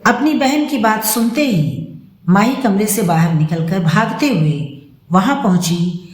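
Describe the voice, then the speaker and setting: A woman tells a scripted story at an unhurried, deliberate pace. Her pitch sits in the middle range, and the recording has a noticeable room echo. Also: animated, darkish tone. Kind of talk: scripted narration of a Hindi moral story. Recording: roomy, echoing sound.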